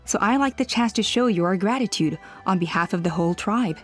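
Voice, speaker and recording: Woman voicing gracious tribe elder in a game, formal and measured, mid-pitched, soft music behind. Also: animated, close to an everyday voice. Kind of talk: anime-style video game voice acting.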